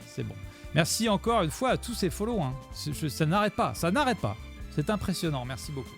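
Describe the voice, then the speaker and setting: A man's voice: a little high, big pitch swings, fairly quick, gravelly, fairly bright tone, faint music behind. A man streaming live, commenting on his own gameplay video with a chat, rambling and joking as he thanks his followers.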